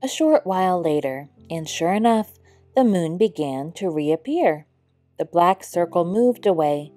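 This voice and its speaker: A woman reading a children's story aloud in a measured, expressive storybook style. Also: fairly low pitch, no room sound, soft background music. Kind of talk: scripted read-aloud narration for children.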